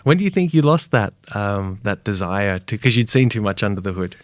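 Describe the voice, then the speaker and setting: Low-voiced guy hosting a podcast, relaxed questions, dry sound. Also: fairly quick, no echo. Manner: easygoing, frequent short agreements. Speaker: podcast host. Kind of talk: interview questions.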